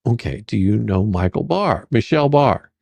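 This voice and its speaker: A performer reading the part of a sarcastic, persistent attorney questioning a witness in a dramatic deposition reading, with probing, halting, repetitive phrasing. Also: medium pitch, expressive, vocal fry, a little mellow, slightly rough, dry recording. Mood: matter-of-fact.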